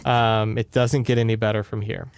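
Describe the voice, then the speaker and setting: A man's voice: lowish voice, little pitch movement, normal speed, clear, resonant tone. A man co-hosting a podcast, recounting a book in casual chat with wry, mock-grandiose humor. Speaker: podcast co-host.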